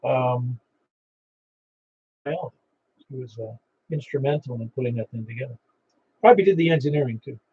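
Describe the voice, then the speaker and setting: A man running a ham radio net, chatting in a relaxed way and reading out call signs, heard over a muffled radio receiver. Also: mid-range voice, moderate pace, pauses often, slightly rough. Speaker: net control operator.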